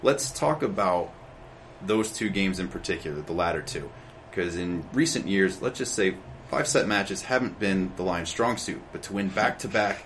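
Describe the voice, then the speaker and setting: A man's voice: sits low, a bit bright, slight background noise. A man hosting a college sports podcast, asking prepared questions in a friendly way. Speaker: podcast host. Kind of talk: sports interview.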